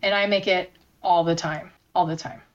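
A woman's voice: lowish voice, fairly even intonation, moderate pace. A woman chef and baking instructor, telling stories as an interview guest in a chatty, enthusiastic way full of filler words. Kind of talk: casual conversational storytelling. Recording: dull lo-fi sound.

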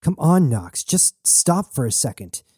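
A man's voice: medium pitch, sing-song, normal speed, warm tone, dry recording, clean studio sound. A man voicing a fiery, foul-mouthed young woman, blunt and mocking, in an audiobook. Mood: pleading.

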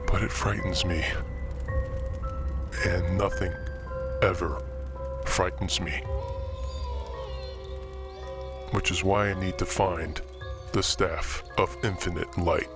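An actor playing a brooding, theatrical occult collector, narrating a scripted horror tale in a measured way with dramatic pauses. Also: male voice, sits low. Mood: grave, haunted. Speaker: narrator and main character of horror fiction podcast. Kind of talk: scripted first-person horror storytelling.

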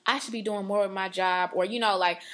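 A woman's voice: medium pitch, steady tone, a little fast, a bit bright. A woman in her late twenties talking earnestly and at length about her faith on a podcast, with many fillers and restarts. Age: 20s. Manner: earnest, rambling, lots of "like". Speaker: podcast co-host. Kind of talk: casual faith talk.

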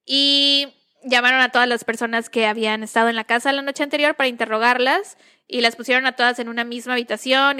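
A woman's voice: fairly high pitch, bright, forceful tone, smooth. A young Mexican woman with a chatty, slangy way of talking, casually telling a true-crime story as a podcast co-host. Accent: Mexican Spanish. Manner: chatty, slangy, laughs between lines.

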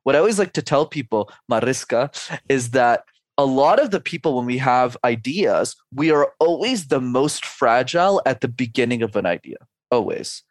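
A man in his twenties or thirties coaches with energy, laying out his advice in clear numbered steps. His voice sits at a middle pitch, and the sound is dry and close, with no room echo. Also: lively intonation, normal speed. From Canada. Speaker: speech coach. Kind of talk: podcast interview conversation.

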